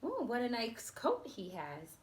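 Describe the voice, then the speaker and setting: A woman's voice: a little high, huge pitch swings, measured pace, roomy sound. A woman voicing a flighty, motherly, bickering fairy in a children's storybook, fussy and full of exclamation. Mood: admiring, soothing.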